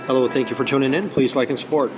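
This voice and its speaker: A hoarse man vlogging in a casual, rambling way with many ums and uhs, recording on a small tripod camera inside a busy coffee shop. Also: medium pitch, fairly even intonation, very fast. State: hoarse from singing practice. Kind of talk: casual unboxing vlog. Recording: noisy coffee shop with music playing. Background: background music and cafe noise.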